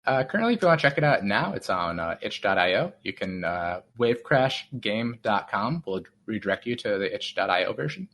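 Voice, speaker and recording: A man with a lowish voice talks about his game in a loose, rambling way. He fills his talk with "like" and "um" and restarts sentences as he goes. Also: big pitch swings, brisk, hardly any pauses, a bit bright, a little hiss or hum. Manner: rambling, many fillers and restarts. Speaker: game developer guest. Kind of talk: casual interview talk.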